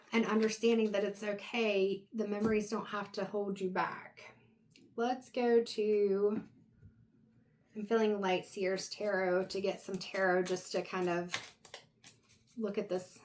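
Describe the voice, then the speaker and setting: Woman losing her voice, giving a meandering tarot reading. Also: medium pitch. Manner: meandering, reflective, talks directly to the viewer. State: losing her voice, clearing her throat. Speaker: tarot reader. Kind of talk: casual intuitive tarot reading.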